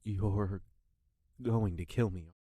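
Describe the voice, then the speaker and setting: A man's voice: on the low side, takes it slowly, mellow, husky, no room sound. A man playing a guilty but principled subject of royalty in an audio drama, earnest and deferential in manner. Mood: reluctant, tense.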